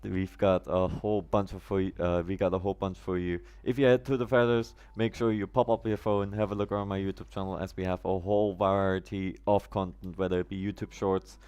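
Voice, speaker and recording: Deep-voiced German man streaming a game. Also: level tone, a little fast, few pauses, a little mellow, clear, resonant tone, dull audio. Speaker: live streamer. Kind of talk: casual live-stream game commentary, chatting with viewers.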